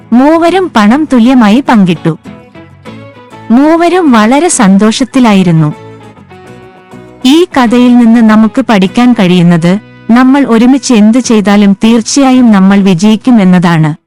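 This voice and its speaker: A woman's voice: on the high side, warm tone. A woman reading scripted moral stories for children as the narrator. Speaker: story narrator. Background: background music.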